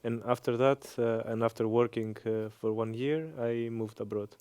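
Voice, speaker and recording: A man in his thirties with a Greek accent, answering interview questions with many hesitant pauses and fillers. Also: mid-range voice, fairly even intonation, a little mellow, smooth, no echo. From Greece. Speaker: interview guest, engineering professor.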